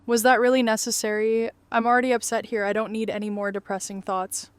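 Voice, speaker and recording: A woman's voice: fairly high pitch, little pitch movement, a little fast, smooth, no echo, close to an everyday voice. A woman playing an upset, sardonic psychiatrist in an apocalypse audio drama, bitter and exasperated.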